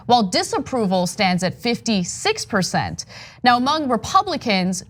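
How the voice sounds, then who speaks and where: A woman whose first language was Armenian, co-hosting a news show with confident, conversational commentary. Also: fairly high pitch. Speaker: co-host. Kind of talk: news commentary and casual chat.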